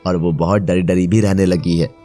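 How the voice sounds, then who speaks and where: A man voicing a stern, concerned doctor in an animated moral story, questioning and advising gravely. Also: deep voice, moderate pace, faint music behind, pitched below the performer's own voice, close to an everyday voice.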